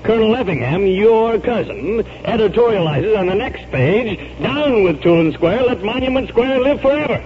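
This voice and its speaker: An actor playing a gruff, impatient, sarcastic newspaper editor in 1940s radio style. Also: male voice, high-pitched, lively intonation, moderate pace, few pauses. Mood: exasperated. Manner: brusque, sarcastic. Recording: dull, hissy 1940s radio recording.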